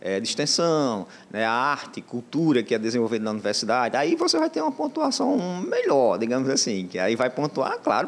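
A man in his forties, a university communications head, explaining criteria in an interview with fillers and restarts. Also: medium pitch. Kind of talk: interview soundbite. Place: indoor office. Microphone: handheld reporter mic.

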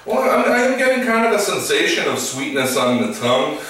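A man's voice: on the high side, big pitch swings, a little fast, a bit bright. Playful male beer co-host. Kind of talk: casual beer tasting chat. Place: small room indoors. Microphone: far camera. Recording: echoey room, distant mic.

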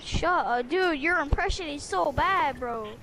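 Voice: high-pitched, a little slow. A dismissive, argumentative gamer bickering casually with teammates over voice chat. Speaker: gamer, random squad teammate. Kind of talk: casual arguing in game chat. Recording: noisy game voice chat with gunfire.

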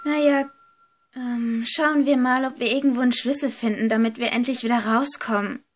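A woman's voice: on the high side, steady tone, moderate pace, lightly acted. A woman playing a frightened, hesitant girl exploring a haunted villa in an amateur horror audio drama. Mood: nervous. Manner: hesitant, anxious.

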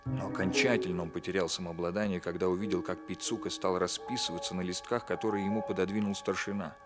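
Radio play lead: male police captain, played by an actor, lowish pitch, coolly polite, exact, shrewd interrogator. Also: fairly even intonation, over music, natural voice. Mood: narrating, intent. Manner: measured, precise, ironically polite. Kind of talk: 1960s Soviet radio play acting, alternating narration and dialogue.

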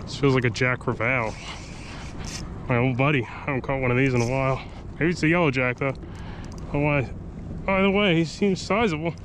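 A man's voice: mid-range voice, brisk, long pauses. A man giving casual running commentary while fishing from a kayak on open water, thinking aloud about his catch. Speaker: fishing vlogger. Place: kayak on open sea, outdoors. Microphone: action camera mounted on kayak. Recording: outdoor action-cam audio with wind and water noise. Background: wind and water noise.

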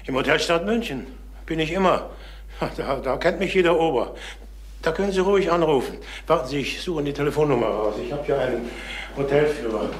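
A middle-aged actor playing a troubled travelling salesman in a crime radio play, halting, repeating himself and on the defensive. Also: fairly high pitch, lively intonation, natural voice. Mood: flustered. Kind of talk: German crime radio drama acting. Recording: noisy vintage radio drama recording.

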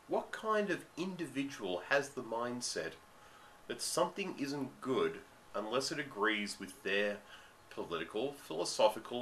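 A man's voice: mid-range voice, bright, forceful tone, slight background noise, poor audio. An Australian man in his thirties, getting over a cold, giving an emphatic ranting commentary to a webcam in a dim room at home. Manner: ranting, emphatic, drawn-out stresses. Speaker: vlogger. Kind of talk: commentary monologue.

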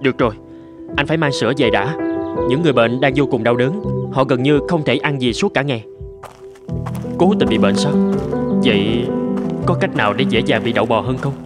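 A man's voice: medium pitch, a little fast, long pauses, natural voice. A man playing an earnest, caring country doctor in a cartoon dub, serious and concerned. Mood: troubled. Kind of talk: cartoon dub with first-person storytelling. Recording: music under the voice.

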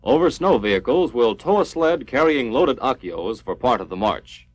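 A man's voice: mid-range voice, animated, normal speed. A man reading a scripted 1950s military training film narration in a formal, clipped, instructional manner. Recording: dull lo-fi 1950s film soundtrack.

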